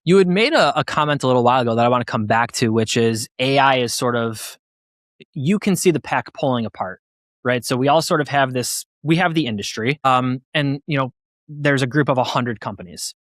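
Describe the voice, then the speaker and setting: A man's voice: medium pitch, a little fast, a bit bright, no echo. Guy hosting an interview podcast, mid-range voice, chatty and conversational, dry studio-like sound. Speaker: podcast host.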